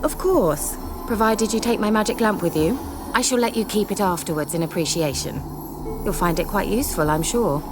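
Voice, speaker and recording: Haughty sorceress, played by an actress, music behind. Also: female voice, medium pitch, normal speed, natural voice. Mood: wry, instructive. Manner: articulate, formal, dryly condescending. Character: haughty, sly young sorceress posing as village witch. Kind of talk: dramatic video game dialogue. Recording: game music under the voice.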